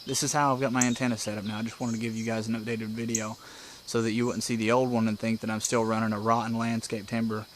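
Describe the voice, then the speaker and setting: A man's voice: fairly low pitch, level tone, fast talker, hardly any pauses. A man giving a casual, step-by-step walkthrough in a plainspoken, folksy way, recorded outdoors. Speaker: hobbyist presenter. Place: outdoors beside a house porch. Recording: outdoor recording with clear insect and bird noise. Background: insects, birds, outdoor ambience.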